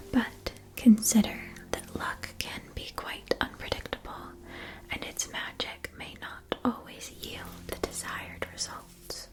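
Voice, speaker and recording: A woman voicing a calm, knowing witch-school wand seller in a whispered, measured and formal ASMR roleplay. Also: fairly high pitch, poor audio. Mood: calm, mystical. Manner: measured, deliberate, formal salesmanship.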